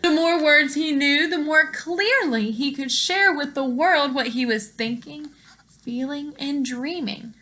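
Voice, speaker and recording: A woman reading a picture book aloud to children in an expressive, teacherly way, pausing to explain words and put questions to young listeners. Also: sits high.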